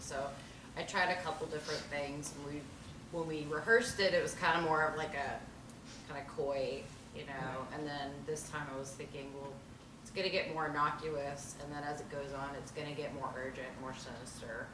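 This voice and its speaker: A woman's voice: deep voice, steady tone, normal speed. A woman who acted in the reading thoughtfully shares her notes in a casual discussion, searching for words with many fillers. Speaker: actor who read stage directions. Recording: echoey room, distant mic, noisy.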